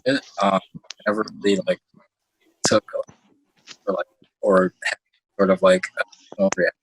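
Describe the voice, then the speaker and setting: A young man speaking casually and haltingly as a guest on a remote call. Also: on the low side, huge pitch swings, gravelly, slight background noise, poor audio. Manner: hesitant, halting. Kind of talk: casual chat. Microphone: headphones on an internet call.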